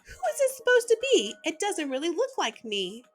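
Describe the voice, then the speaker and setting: A blunt little storybook critter who fires off his words in a quick, very high voice, as a woman puts him on. He sounds lively and bouncy. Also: faint music behind, full character voice.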